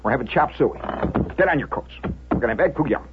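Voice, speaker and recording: A man's voice: mid-range voice, lively intonation, fast talker, heavy background noise, lightly acted. A man voicing a hot-tempered father in a comic family story, speaking in short, repeated exclamations. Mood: subdued resignation. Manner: exclamatory, repetitive. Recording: muffled, band-limited vintage radio sound.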